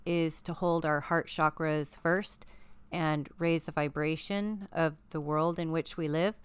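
A woman's voice: low voice, fairly even intonation, measured pace, clear, resonant tone, dry recording. A woman co-hosting a casual spiritual commentary show, speaking emphatically as she agrees and explains. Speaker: co-host.

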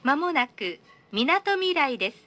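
A woman's voice: sits high, big pitch swings, normal speed, bright, forceful tone. A woman reading a scripted train announcement in a polite, formal, carefully enunciated manner.

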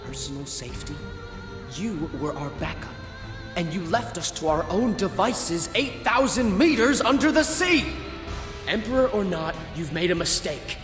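An actor voicing a proud, hot-headed young fighter in a video game, haughty, theatrical and sarcastic. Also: male voice, high voice, sing-song, moderate pace. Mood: angry, accusing. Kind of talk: dramatic game cutscene acting. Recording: reverberant game audio with music behind. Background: music.

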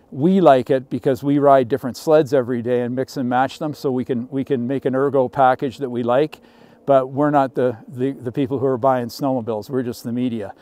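Middle-aged guy showing off a sled outside in the snow, mellow smooth voice, kinda chatty with lots of uhs. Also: medium pitch, dry recording. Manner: casual, explanatory, with frequent fillers. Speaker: snowmobile reviewer. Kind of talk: product walkaround presentation. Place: outdoors in snowy pine woods.

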